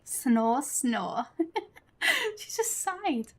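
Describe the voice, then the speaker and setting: A woman's voice: fairly high pitch, very animated, extremely slow, darkish tone. A woman giving casual live commentary as a gaming streamer. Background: game music.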